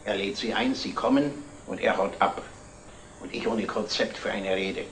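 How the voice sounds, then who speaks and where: An actor playing a sardonic, uneasy party guest in a 1960s TV drama. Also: male voice, on the low side, moderate pace, a bit bright, a little hiss or hum. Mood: rueful. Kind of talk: 1960s German TV drama acting. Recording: old TV film sound.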